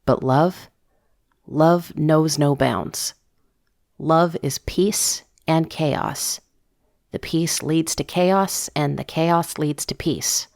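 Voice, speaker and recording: A woman's voice: deep voice, very slow, vocal fry, darkish tone, no room sound, studio quality, full character voice. A woman voicing an earnest, tender middle-aged father in an audio drama, reflective and fatherly in manner. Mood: tender, musing.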